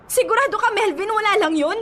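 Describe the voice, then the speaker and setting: A woman's voice: very high-pitched, quick pace, a bit bright, a little hiss or hum. An actress playing a heartbroken, clinging young ex-girlfriend with a Filipino accent in an emotional, pleading radio drama style, mixing in English. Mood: insistent, hurt. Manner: emotional, pleading, switches between Tagalog and English. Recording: music bed under the dialogue. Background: music.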